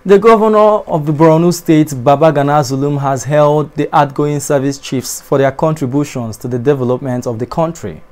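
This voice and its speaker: Newsreader, young adult male, slightly higher voice, formal studio delivery. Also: darkish tone. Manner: measured formal newsreading, dipping at sentence ends. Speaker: newscaster. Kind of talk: read news bulletin. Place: TV studio in front of a backdrop.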